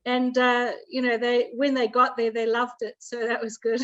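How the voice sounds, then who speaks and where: A woman's voice: fairly high pitch, level tone, a little slow. A middle-aged woman, chatting casually and jovially as a guest cooking coach. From Zambia, later Australia. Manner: jovial, often chuckles. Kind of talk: casual chat, personal storytelling. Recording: dull lo-fi video call audio.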